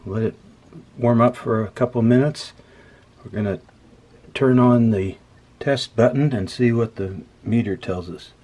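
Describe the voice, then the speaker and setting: A man casually talking through a hands-on repair as host, unhurried and dryly humorous. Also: mid-range voice, a little mellow. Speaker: host of an electronics repair video. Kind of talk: casual hands-on demonstration commentary. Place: indoor workbench. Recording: clear background noise.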